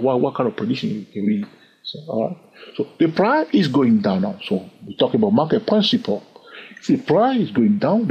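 Husky, lively man teaching chart trading. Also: mid-range voice. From lives in London. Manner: animated, asks rhetorical questions, chuckles. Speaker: trading educator. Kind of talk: screen-recorded chart lesson. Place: at a computer, voice over screen. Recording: dull lo-fi sound.

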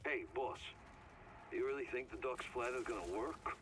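A man's voice: mid-range voice, normal speed, noisy background, lightly acted. A man playing a bitter, confrontational driver in a video game. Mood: resentful. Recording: loud game ambience behind.

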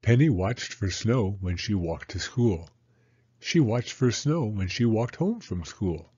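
A man narrating a children's read-aloud story in an expressive, deliberate manner. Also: on the low side, slightly rough, muffled recording.

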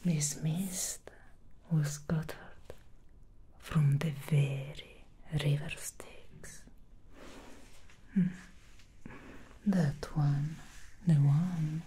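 A woman's voice: low-pitched, steady tone, dark tone, slight background noise. A woman whispering as a sly demon stylist. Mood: alluring. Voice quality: breathy unvoiced whisper. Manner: slow, teasing, hums between lines. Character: alluring female demon hairstylist, sly and soothing. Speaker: ASMR roleplayer. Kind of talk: whispered ASMR roleplay. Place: small dressed studio set indoors. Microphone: close sensitive microphone.

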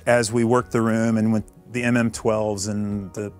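Fifties guy from illinois showing off his basement studio, deep-ish voice, easygoing and technical, faint music under it. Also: normal speed. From Illinois, USA. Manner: relaxed, explanatory, technical. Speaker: studio owner giving a tour. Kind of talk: casual studio tour. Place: treated basement recording studio.

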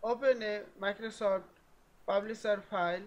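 A man narrating a step-by-step software tutorial in short, halting phrases. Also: high-pitched, fairly even intonation.

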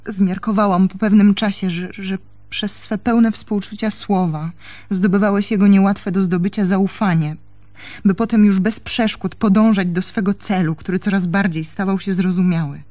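An actress playing a troubled young woman in a radio play, a devoted but reproachful lover speaking earnestly and haltingly. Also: medium pitch, fairly even intonation, mellow, natural voice. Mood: hurt, reproachful. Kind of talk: Polish radio play acting.

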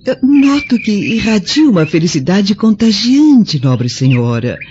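Woman as a devoted, dark-toned Roman maidservant. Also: mid-range voice, very animated, a little slow, slight background noise. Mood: glad, devoted. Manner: respectful, earnest, gently theatrical. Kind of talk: radio drama acting. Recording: dull sound with faint music.

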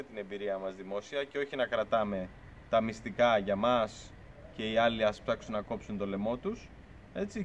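A man's voice: mid-range voice, a little hiss or hum. A man in his thirties, a beekeeping channel host, talking earnestly and argumentatively to the camera outdoors beside a truck. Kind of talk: casual talk to camera. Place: outdoors by a pickup truck at a farm shed.

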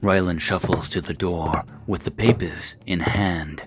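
A man's voice: deep voice, fairly even intonation, measured pace, heavy background noise. A man narrating an audio drama with scripted scene descriptions.

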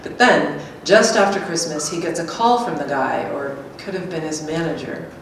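A woman's voice: deep voice, normal speed, close to an everyday voice. A woman, middle-aged, reading the part of a plain-spoken, chatty companion in a staged script reading. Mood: gossipy. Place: small hard-walled gallery room, indoors. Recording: very echoey room, distant, faint noise.

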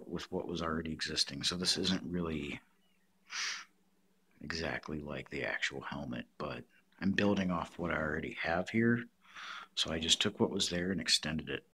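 A man's voice: low-pitched, pushed and bright, breathy edge. A man giving a casual hands-on craft tutorial, explaining step by step in plain words.

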